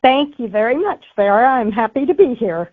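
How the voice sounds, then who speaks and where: A woman's voice: fairly high pitch, animated, normal speed, no room sound. A woman being interviewed as an author, giving conversational answers over a thin phone-like connection. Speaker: author guest.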